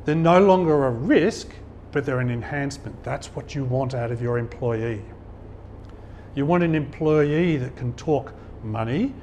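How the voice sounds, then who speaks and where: A man's voice: mid-range voice, darkish tone, slight background noise. A middle-aged man giving an informal, conversational talk to an audience in a function hall, telling anecdotes and chuckling at his own stories. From Australia. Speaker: guest speaker at a business networking event.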